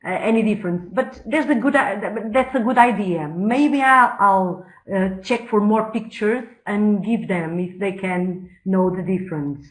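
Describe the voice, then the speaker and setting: A woman teacher answering hesitantly on a webinar video call, with many pauses and restarts. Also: medium pitch, low-quality recording.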